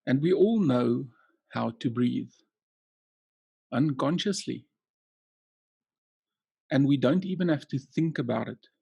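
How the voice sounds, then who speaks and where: A man leading a guided hypnotic relaxation as a coaching trainer, soothing and measured, leaving long pauses between short phrases. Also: medium pitch, lively intonation, gravelly, darkish tone, slightly rough, no echo, lo-fi sound.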